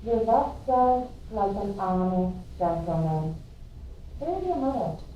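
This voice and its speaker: A woman's voice: mid-range voice, lively intonation, unhurried, lightly acted. A woman playing a weary, teasing, protective old nurse in an amateur Shakespeare reading. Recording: very echoey, distant, dull, faint noise.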